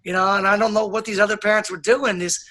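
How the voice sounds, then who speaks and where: A man speaking as an interview guest, calm and earnest, laying down his points firmly. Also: high voice, quick pace. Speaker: guest, author and educator. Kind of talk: casual interview chat.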